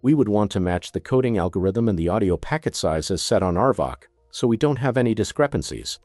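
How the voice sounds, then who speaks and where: Male tutorial narrator, methodical product demonstrator with animated intonation, recorded close and dry. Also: on the low side, brisk, no room sound, soft background music. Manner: methodical, step-by-step instruction.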